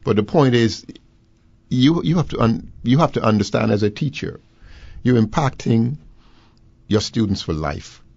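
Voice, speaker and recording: A man from the Bahamas, a guest on education, speaking in a deliberate, formal, lecturing way. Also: medium pitch, lively intonation, creaky, darkish tone, dry recording, dull audio. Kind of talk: measured talk radio discussion.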